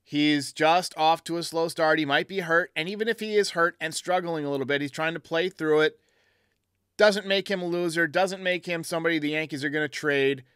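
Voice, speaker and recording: A man's voice: on the high side, brisk, fairly bright tone, clear, resonant tone, no echo, studio quality. A man hosting a fan call-in sports show, giving blunt, emphatic opinions in a casual way.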